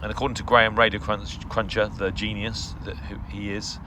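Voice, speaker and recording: A man's voice: fairly low pitch, flat delivery, fairly quick. A middle-aged man giving a casual, unscripted update, rambling hesitantly into asides. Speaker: radio hobbyist video host. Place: outdoors in a garden. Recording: outdoor ambient noise.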